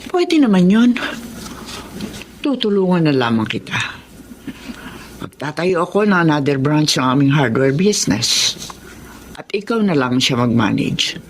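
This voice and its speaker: A woman's voice: low voice, very animated, a little slow, long pauses. A woman playing a kindly, protective older mother-in-law in a Tagalog radio drama. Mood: concerned, helpful. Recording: faint background ambience.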